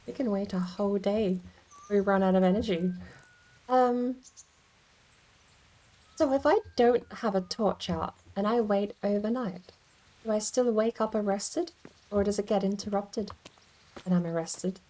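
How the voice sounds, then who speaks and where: A woman's voice: mid-range voice, a little slow, pauses often, dark tone, poor audio. A woman giving casual livestream game commentary, rambling and thinking aloud as she plays. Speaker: game streamer.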